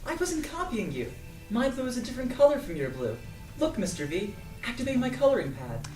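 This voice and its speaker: A woman's voice: a little high, sing-song, brisk, a little mellow. A woman playing a defiant, stubborn little boy who protests whinily, in an audio drama table read. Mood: eager, defensive. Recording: echoey room, distant mic, music behind.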